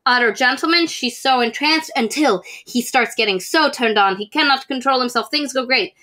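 A woman's voice: high voice, moderate pace, bright, forceful tone. A young woman co-hosting a podcast, recapping and critiquing books in casual chat. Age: young adult. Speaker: podcast co-host.

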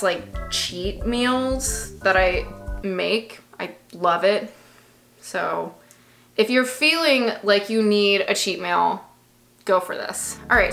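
A woman's voice: mid-range voice, bright, forceful tone. A young woman chatting casually through a grocery haul vlog, matter-of-fact with dry little asides, filmed close in a small bedroom. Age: young adult. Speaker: vlogger.

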